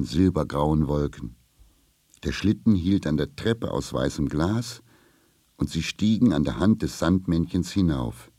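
A man tells a fairy tale to children as a narrator. His voice is deep and warm, and he reads the scripted story at a slow, measured pace. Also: no room sound.

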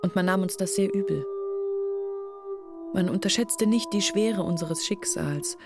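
An actress playing a village woman in a radio drama, recounting her outcast family's shame in a measured, reflective way. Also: mid-range voice, over loud music, lightly acted. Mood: bitter, resigned. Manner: measured, reflective, articulate storytelling. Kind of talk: literary radio drama monologue.

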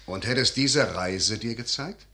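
An actor playing a grave, worldly older father and military man in a classical German radio drama, declaiming verse with measured weight. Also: fairly low pitch, bright, forceful tone. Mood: alarmed, bitter.

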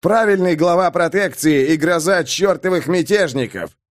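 Audiobook villainous major, loud, mocking, theatrical, cackling, high swooping pitch. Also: male voice, big pitch swings, a little slow. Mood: mocking swagger. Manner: jeering, theatrical, bursts into cackling laughter.

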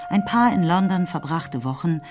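A woman with standard German diction narrating a literary radio play in a measured, articulate storytelling manner. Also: on the low side, lively intonation, natural voice. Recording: music behind the voice. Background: music.

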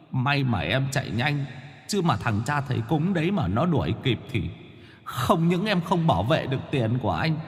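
A man voicing a fawning young male ghost who whines, stammers and pleads in a high, soft voice. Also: in a large hall. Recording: faint background music.

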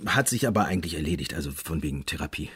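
Stubborn cop in a radio drama, really hoarse low voice, swingy and halting. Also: male voice, expressive, a bit bright, full character voice. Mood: evasive, insistent. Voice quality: husky, rough voice. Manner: halting, evasive, insistent, colloquial. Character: restless, stubborn male police investigator on sick leave. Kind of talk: naturalistic German radio drama acting.

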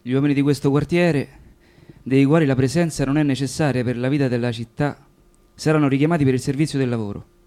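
An actor in a radio play voicing a man who reads out a stern wartime order in a formal, measured way. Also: mid-range voice, fairly even intonation, mellow, close to an everyday voice. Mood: cold, official. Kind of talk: dramatised reading in a radio play.

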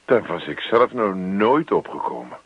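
A man's voice: low voice, big pitch swings, unhurried, breathy edge, no room sound, heavily acted. An actor playing a grumpy, put-upon middle-aged man in a radio play, grumbling and muttering reluctant asides about his neighbour. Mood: forced politeness. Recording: dull lo-fi radio play recording.

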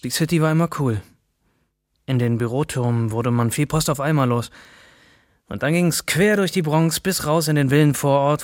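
A man's voice: a little high, no room sound, clean studio sound, close to an everyday voice. An actor playing a sardonic, streetwise bike courier narrating his job in a radio drama. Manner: colloquial, sardonic. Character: wry, streetwise male bike courier telling his story. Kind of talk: dramatic first-person narration.

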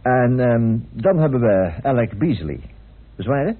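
A man's voice: mid-range voice, expressive, measured pace. An actor playing a self-assured, talkative, persuasive man in a radio drama. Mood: brisk, jovial. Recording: muffled, narrow-band old radio recording.

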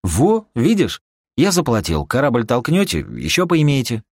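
Casting: man acting a shrewd, stubborn audiobook boss, persuasive and slangy, raised pitch with lively swings. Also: measured pace, gravelly, dry recording, clean studio sound, heavily acted. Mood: haggling. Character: shrewd male boss haggling briskly, stubborn and practical.

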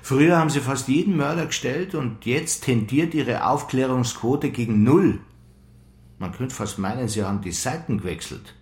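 Man playing sceptical police boss, mid-pitched, deliberate, needling, official, roomy radio drama sound. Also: a little mellow, close to an everyday voice. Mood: probing, reproachful. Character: sceptical, measured male police superior, quietly probing. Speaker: actor in a radio crime play.

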